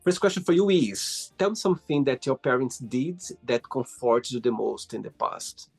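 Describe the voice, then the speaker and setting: Male interview host, gravelly, husky, encouraging, casual, interested exclamations. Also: medium pitch, normal speed, faint music behind.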